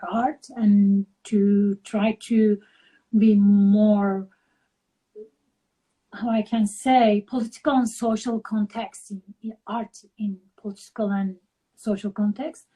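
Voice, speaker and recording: A middle-aged woman from Turkey with a Turkish accent, speaking slowly and haltingly as she searches for words in an interview. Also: mid-range voice, mellow, poor audio. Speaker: guest artist. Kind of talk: interview over a live video call.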